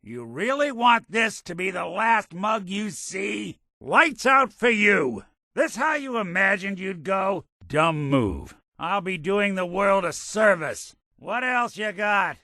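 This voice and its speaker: An actor playing a world-weary, wisecracking robot detective in a video game, with an American accent and a wry, streetwise, hard-boiled noir delivery. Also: male voice, sits high, big pitch swings, slow pace, sharp tone, no room sound, studio quality, exaggerated voice. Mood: menacing.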